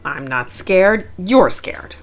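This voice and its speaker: Easily scared male storybook bear, played by a woman, fretful and defensive, very animated pitch, music underneath. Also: takes it slowly, heavily acted. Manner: fretful, listing worries and denying fear.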